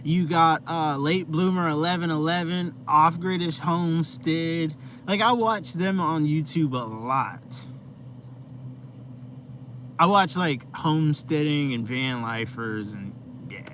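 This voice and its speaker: Laid-back young man, slow drawl. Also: a little high, a bit bright. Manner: laid-back, rambling, heavy use of "like". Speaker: live stream host. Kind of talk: casual live stream chat with viewers. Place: inside his van. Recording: noisy vehicle ambience.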